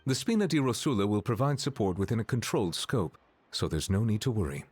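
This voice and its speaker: A man's voice: fairly low pitch, big pitch swings, fast talker, darkish tone. A man voicing a composed, serious and courteous officer in a video game, formal and precise. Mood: serious, reassuring. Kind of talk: video game dialogue acting. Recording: clear game music behind. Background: background music.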